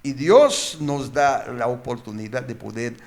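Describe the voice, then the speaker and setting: A man's voice: medium pitch, a little slow, vocal fry. A man speaking as a pastor, teaching informally in a group discussion, repeating phrases and posing questions. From born and raised outside Mexico, living in Mexico. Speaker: pastor, guest explainer.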